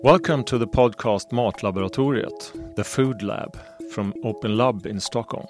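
A man hosting a podcast, reading a measured, prepared introduction. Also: on the low side, soft background music. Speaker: podcast host. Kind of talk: scripted podcast intro and interview.

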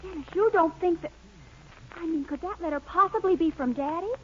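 Sweet, high girlish young woman. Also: a little fast. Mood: alarmed. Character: sweet, admiring, eager young girlfriend. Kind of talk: 1940s radio sitcom acting. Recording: muffled, noisy old radio recording, faint audience.

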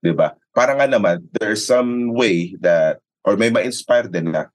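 A man's voice: on the low side, a little slow. A man with a Filipino accent talking conversationally as a sports commentator being interviewed, often checking for agreement with short tag questions. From Philippines. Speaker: sports announcer and radio commentator, guest. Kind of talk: conversational interview talk in mixed English and Tagalog.

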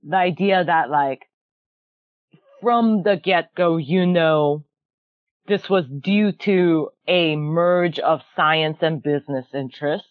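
A woman's voice: low-pitched, takes it slowly, clean tone, no room sound. Chatty woman on a tinny line. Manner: chatty, laughs often, many "like" fillers. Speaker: podcast guest. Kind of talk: casual podcast chat.

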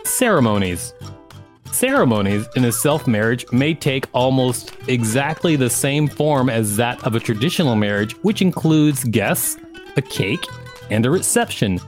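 Animated man hosting over guitar music. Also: medium pitch. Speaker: podcast host. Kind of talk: reading aloud with casual banter. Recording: music playing behind the voice.

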